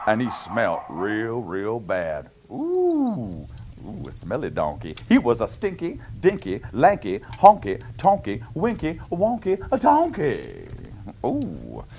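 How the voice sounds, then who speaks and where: A man's voice: mid-range voice, huge pitch swings, slow, a little mellow, slight background noise, pitched below the performer's own voice, exaggerated voice. A middle-aged man playing a jolly Canadian lumberjack, reading a picture book to children with playful, exaggerated sing-song rhythms and chuckly asides. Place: small indoor cabin-style set.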